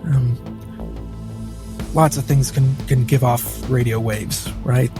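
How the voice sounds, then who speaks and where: Man as cautious male space crew member, slow halting delivery, cinematic roleplay over music. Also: mid-range voice, steady tone, close to an everyday voice. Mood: uneasy, cautious. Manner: hesitant, halting. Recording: music bed behind the voice.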